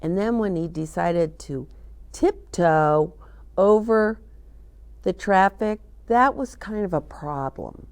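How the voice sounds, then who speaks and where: A woman hosting a children's story time, reading aloud and chatting in an animated, sing-song way, often putting questions to her young listeners. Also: fairly low pitch, takes it slowly, smooth. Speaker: children's storyteller and show host.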